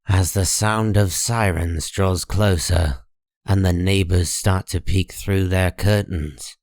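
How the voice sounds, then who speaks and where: Deep, slow male dramatic narrator. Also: a little mellow, dry recording, studio quality. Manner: measured, deliberate. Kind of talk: scripted dramatic comic narration.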